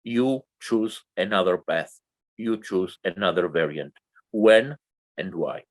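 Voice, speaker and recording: A man's voice: low voice, slow. A man with a Romanian accent, a non-native English speaker, formally introducing a poet as co-host, with many fillers and restarts.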